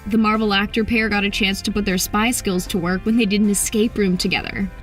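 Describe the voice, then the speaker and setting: A woman's voice: medium pitch, fairly even intonation, a little fast. A woman narrating an entertainment video from a script. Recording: music under the voice. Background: background music.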